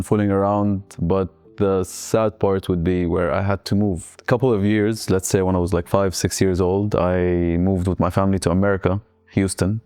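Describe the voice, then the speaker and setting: A young man from Algeria, speaking English as a second language, tells his life story in a relaxed, reflective way and often hedges with "let's say". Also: low-pitched, smooth, no echo. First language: not English. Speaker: interviewee.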